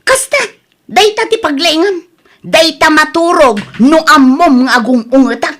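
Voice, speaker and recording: A woman playing a sharp, reproachful young wife who scolds her husband in an audio drama. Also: high voice, a little slow. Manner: nagging, scolding.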